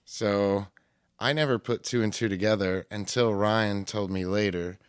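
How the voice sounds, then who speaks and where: A man's voice: on the low side, steady tone, measured pace, smooth, no room sound. Teasing man on a dry close mic. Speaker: podcast co-host. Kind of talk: casual chat.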